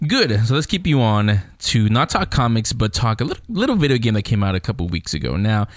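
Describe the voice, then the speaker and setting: A man's voice: on the low side, big pitch swings, fairly quick, no echo, studio quality. A man hosting a radio show in casual, chatty conversation.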